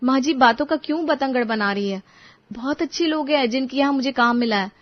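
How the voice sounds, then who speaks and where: A woman's voice: high voice, a little fast, highs cut off, pitched above the performer's own voice, close to an everyday voice. A woman voicing an earnest, determined young daughter-in-law who reasons politely but firmly in a story. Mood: earnest, defensive.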